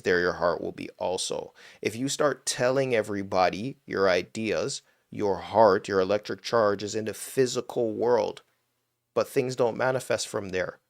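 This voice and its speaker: A man with a low, gravelly voice talks casually into a computer mic during a live stream. He speaks in a dry, close sound with no room echo. His answers to viewers are emphatic and conversational. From Toronto, Canada.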